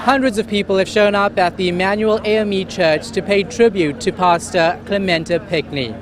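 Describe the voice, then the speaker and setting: A man anchoring and reporting the news in a crisp, measured broadcast delivery. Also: high-pitched, steady tone, noisy background. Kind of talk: scripted news presenting.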